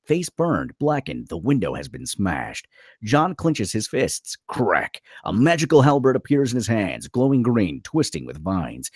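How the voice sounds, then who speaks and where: A man's voice: mid-range voice, darkish tone, slightly rough, no echo, studio quality. A man narrating screenplay stage directions dramatically at a table read.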